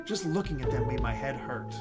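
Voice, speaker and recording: Animated man reading game narration aloud. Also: medium pitch, brisk. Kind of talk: casual let's-play read-aloud with chat asides. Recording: game music behind the voice. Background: video game music.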